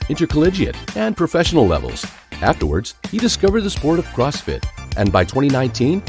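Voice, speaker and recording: A man's voice: mid-range voice, darkish tone. A man reading a scripted, polished announcer introduction for a podcast. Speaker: show announcer. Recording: echoey with music underneath.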